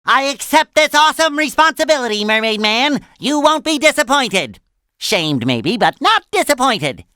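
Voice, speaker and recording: A man voicing an excitable, naive, cheerful young cartoon sea sponge in a video game, with a squeaky nasal voice and eager, childlike earnestness. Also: normal speed, dry recording, studio quality, full character voice. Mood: excited, adoring. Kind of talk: animated video game voice lines.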